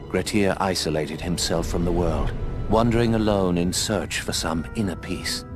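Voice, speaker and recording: An actor playing an old male owl mentor who narrates the tale in a measured, grave manner and gives dry commands. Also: sits low, natural voice. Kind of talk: game cutscene voice acting. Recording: voice over loud game music.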